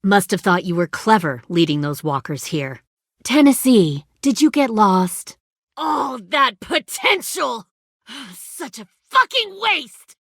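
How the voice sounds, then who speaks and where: A woman's voice: fairly high pitch, big pitch swings, slow, a bit bright, husky, no echo, studio quality, exaggerated voice. An actress voicing a ruthless, hardened woman who leads a survivor militia in a video game drama, speaking in a controlled, menacing and persuasive way. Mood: angry.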